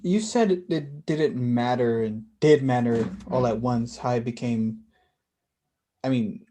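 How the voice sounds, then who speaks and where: A man's voice: medium pitch, a little slow, dark tone, slight background noise, natural voice. A man acting a reflective blind young man in a staged play reading, hesitant and conversational. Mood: tentative, gentle.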